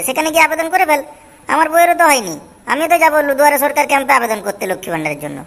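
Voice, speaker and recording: A man voicing a scheming, boozy village husband in an amateur comedy cartoon, confiding, with artificially raised pitch. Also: vocal fry, fairly bright tone, exaggerated voice. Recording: reverberant track with faint music. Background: faint music.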